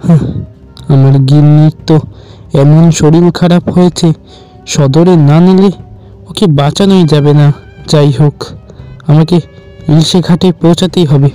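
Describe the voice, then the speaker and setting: A man's voice: on the high side, fairly even intonation, measured pace, long pauses, mellow, clean tone, soft background music, close to an everyday voice. A man playing a worried village fisherman in a cartoon, talking hesitantly to himself. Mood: doubtful, worried. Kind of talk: cartoon monologue.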